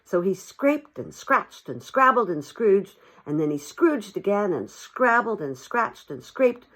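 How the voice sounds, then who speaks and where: A woman's voice: mid-range voice, very animated, a little slow, fairly bright tone. A woman narrating a classic storybook expressively, as an audiobook reader.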